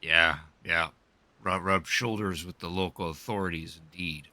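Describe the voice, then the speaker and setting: A man's voice: low-pitched, fairly even intonation, normal speed, sharp tone, dry recording. A man giving casual, dry and wry commentary on a live game stream.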